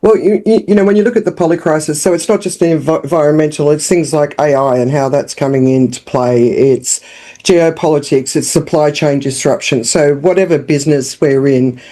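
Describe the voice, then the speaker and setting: Frank Australian woman with a mellow voice. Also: low voice, fairly quick. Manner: lively and frank, with frequent restarts and fillers. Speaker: guest, founder and CEO. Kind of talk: casual interview talk.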